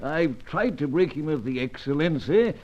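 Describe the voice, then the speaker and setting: An actor playing a genial, flustered spymaster with an upper-class British accent, blustering and repeating himself, in old-time radio adventure style. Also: male voice, a little high, expressive, normal speed, a little mellow. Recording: dull, muffled old radio recording.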